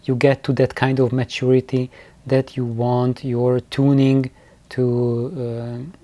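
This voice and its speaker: A man giving an informal, unscripted explanation as a video host, halting often to repeat words and restart. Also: medium pitch, flat delivery, dark tone, dry recording. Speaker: host of DIY loudspeaker building videos.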